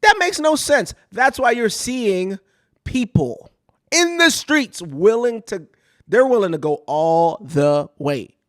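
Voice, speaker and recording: A woman's voice: mid-range voice, lively intonation, slow, dry recording, clean studio sound. A woman from Kenya hosting a talk show, giving casual, emphatic opinion commentary and repeating phrases to drive points home. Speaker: show host.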